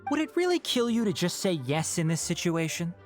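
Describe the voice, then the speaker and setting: A man voicing a sharp young male scholar in a video game, measured and dryly sarcastic. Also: high voice, big pitch swings. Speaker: scholar and guardian. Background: game music.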